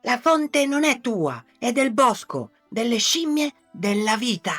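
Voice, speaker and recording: A woman doing a high little chameleon, Zibù. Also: very animated, takes it slowly, a bit bright, faint music behind, exaggerated voice. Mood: earnest. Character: small kind brave male chameleon.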